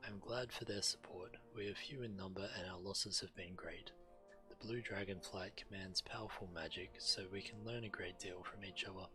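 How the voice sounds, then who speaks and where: A man's voice: sits low, fairly even intonation, normal speed. A man streaming a video game, reading the quest text aloud. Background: game music.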